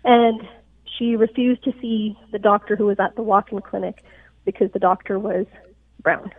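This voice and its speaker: A woman's voice: medium pitch, level tone, moderate pace. Woman doctor on a tinny phone line. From Pakistan. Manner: earnest, explanatory, frequent "right?" check-ins. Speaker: physician guest. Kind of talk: radio interview. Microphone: phone call.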